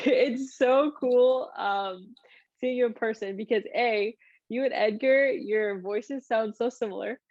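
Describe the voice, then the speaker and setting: A woman's voice: a little high, slow pace, dry recording, lo-fi sound. A young woman hosting a podcast, talking in an upbeat, chatty way with frequent fillers. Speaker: podcast host. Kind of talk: casual podcast interview.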